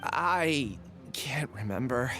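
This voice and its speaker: A man's voice: mid-range voice, expressive, slow, bright, forceful tone, faint music behind, heavily acted. A man voicing a meek, troubled young amnesiac in an anime game, hesitant and apologetic.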